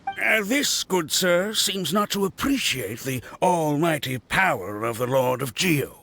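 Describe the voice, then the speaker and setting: An actor playing a boastful male archaeologist in a game, lecturing and quick to take offense. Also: fairly high pitch, very animated, creaky, pushed and bright, no room sound, soft background music, heavily acted. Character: pompous self-styled male archaeologist. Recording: game cutscene with faint score.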